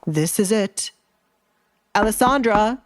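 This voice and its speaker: A woman's voice: mid-range voice, lively intonation, takes it slowly. A woman voicing a nervous, obsequious middle-aged baron in an audiobook, placating and flustered. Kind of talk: audiobook character acting. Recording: music behind the voice.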